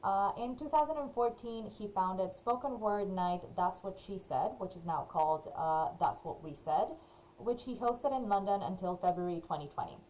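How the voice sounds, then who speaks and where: A woman's voice: mid-range voice, normal speed, a little mellow, slight background noise, rough recording. Woman host, online event intros, hesitant delivery with fillers. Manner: hesitant, many uhs. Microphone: video call.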